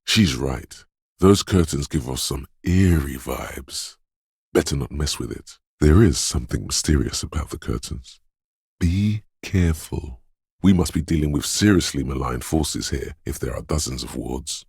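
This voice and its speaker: A man voicing a brooding, superstitious inner voice of intuition in a video game, speaking in a hushed, portentous, theatrical way. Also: no room sound, clean studio sound, heavily acted. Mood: ominous, eerie. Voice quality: very deep, gravelly, husky. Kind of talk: dramatic video game narration.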